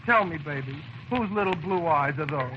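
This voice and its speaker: An actor playing a gushing, nervous secret lover in a 1930s radio comedy, with exaggerated sweet talk. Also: male voice, fairly high pitch, lively intonation, moderate pace, natural voice. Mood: lovesick. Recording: dull, very noisy old radio broadcast.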